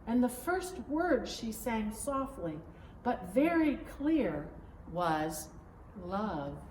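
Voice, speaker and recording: A woman voicing a gentle, playful father telling a story to his daughter, as a picture-book read-aloud. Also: a little high, big pitch swings, drawn-out words, full character voice. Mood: playful, patient. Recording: roomy, distant mic, faint background noise.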